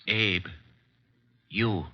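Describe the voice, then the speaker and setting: A man's voice: fairly low pitch, lively intonation, extremely slow, husky, heavily acted. A man playing a nervous, deferential shoeshine man in a vintage radio mystery, hesitant and stammering. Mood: nervous, obliging. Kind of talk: 1940s-50s radio mystery acting. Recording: dull, noisy vintage radio recording.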